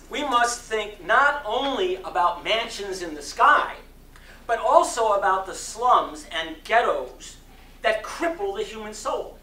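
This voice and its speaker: A man giving a dramatic sermon reading to a live audience, with deliberate, oratorical phrasing. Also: high voice, bright, forceful tone. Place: community center hall. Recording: roomy echo, distant mic, faint noise.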